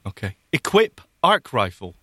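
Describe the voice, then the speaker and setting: A man's voice: medium pitch, sing-song, a little slow, sharp tone, slight background noise. A man hosting casually, reacting and joking as he gives commands in a voice-controlled game. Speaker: podcast host playing a game.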